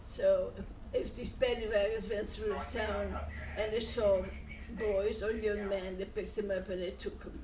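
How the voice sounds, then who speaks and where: A woman's voice: mid-range voice, level tone, darkish tone, a little hiss or hum. Elderly woman, distant and echoey. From Netherlands. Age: 80s. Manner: plain-spoken, recalls events in short halting phrases. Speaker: interviewee. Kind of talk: oral history interview. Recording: echoey room, distant from mic.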